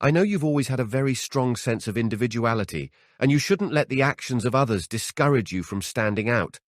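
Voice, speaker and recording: A man's voice: mid-range voice, expressive, brisk, dry recording, studio quality, voice pushed up, lightly acted. A man voicing an earnest, exasperated wife in an audiobook, with a chiding manner. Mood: earnest, coaxing. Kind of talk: dramatic audiobook character voice.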